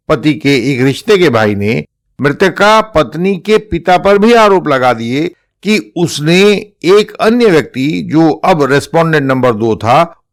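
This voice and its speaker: A man with an Indian accent giving an explanatory legal lecture in a measured, formal manner. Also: on the high side. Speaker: presenter explaining court judgments.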